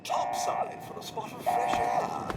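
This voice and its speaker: An actor voicing a prim, courtly robot butler in a video game. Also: male voice, medium pitch, very animated, unhurried, creaky, noisy background, exaggerated voice. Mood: cheerfully polite. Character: polite, fussy English robot butler. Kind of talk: video game character acting.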